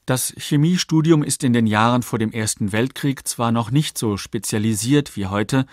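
A man narrating a scripted documentary in a factual, measured way. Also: mid-range voice, dry recording, studio quality. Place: dry studio.

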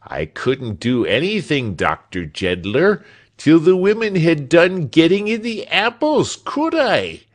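A man's voice: deep voice, sing-song, very slow, hardly any pauses, pushed and bright, exaggerated voice. A man voicing a small, discontented manservant in a storybook, with a sour, grumbling way of arguing. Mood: protesting. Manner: grumbling, voice rising as he argues. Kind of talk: storybook character voice.

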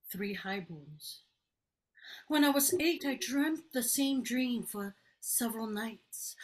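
A woman's voice: a little high, husky, rough recording. Woman performing dramatic spoken-word poetry. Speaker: poet, teacher. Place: bedroom indoors. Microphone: video call, desk distance.

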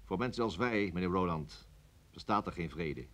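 An actor playing a cool, formal security service interrogator in a radio play. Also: male voice, sits low, expressive. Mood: cool, insistent. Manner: formal, measured.

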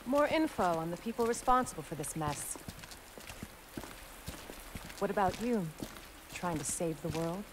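An actress playing a cool, guarded, commanding young secret agent in a video game cutscene. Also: female voice, fairly low pitch, expressive, a little slow, long pauses, noisy background. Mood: guarded, matter-of-fact. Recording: loud background ambience.

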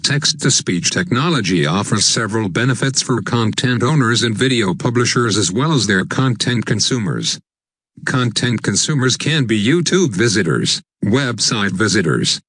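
Husky synthetic male narrator. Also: on the low side, sing-song, moderate pace, fairly bright tone, no room sound. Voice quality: synthetic text-to-speech voice.

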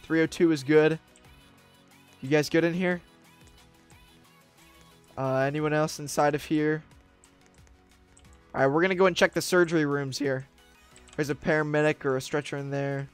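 A young man streaming a roleplay game, leading a team with urgent commands that swing from hushed whispers to shouts, recorded on a desk mic in a small room. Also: a little high, fairly even intonation, moderate pace, pauses often, smooth, faint music behind. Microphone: desk mic, webcam streamer.

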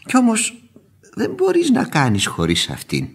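An actor playing a fussy, long-suffering older husband in a vintage Greek radio drama. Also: male voice, high voice, huge pitch swings, vocal fry, slightly rough, heavily acted. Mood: irritated.

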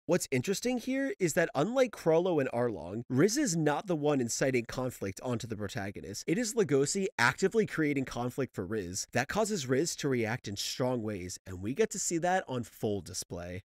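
A man's voice: mid-range voice, very animated, moderate pace, few pauses, dry recording, clean studio sound. A man narrating a video essay in an informal, opinionated, conversational way, as the host of his channel. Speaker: host of a manga analysis channel. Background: background music.

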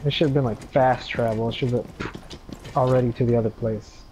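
A man's voice: mid-range voice, fairly even intonation, a little fast, darkish tone, rough recording. A young man playing an online shooter, bantering casually and jokingly in slangy speech. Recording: clear game noise and clicks behind.

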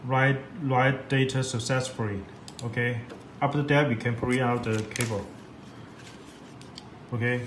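A man giving a step-by-step software tutorial in halting, repetitive English. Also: medium pitch, steady tone. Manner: halting, repetitive non-native English phrasing. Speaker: product demonstrator. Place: indoors at a laptop on a desk. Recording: echoey room with clear background noise.

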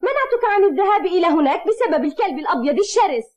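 A worried young guardian in a cartoon. Her very high voice swings widely and comes fast, stern and fretful, with faint music behind. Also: very animated, a little mellow, muffled recording. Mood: anxious scolding. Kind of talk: Arabic cartoon dubbing.

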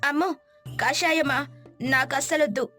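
Woman playing an eager, high-voiced young city wife. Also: measured pace, sharp tone, no echo, soft background music. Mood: playful. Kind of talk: animated moral story voice acting.

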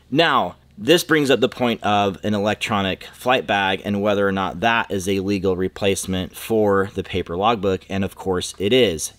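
A man in his thirties, a flight instructor, explaining rules to camera in a relaxed, conversational way from a hangar. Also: low-pitched, no room sound. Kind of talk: casual instructional talk to camera. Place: aircraft hangar, indoors. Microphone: close camera, seated at desk.

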